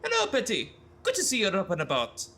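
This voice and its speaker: A high-voiced, chipper scientist in a sci-fi cartoon audio drama. His delivery swings up and down, chatty and jokey with a shifty edge. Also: wildly swooping pitch, fairly quick, pushed and bright, slight background noise, heavily acted.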